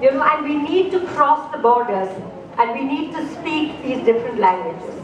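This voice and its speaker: A woman from India, telling a story slowly and expressively to a live audience and asking them questions. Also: high-pitched, animated. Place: festival opening ceremony stage. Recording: echoey hall, distant mic, background noise.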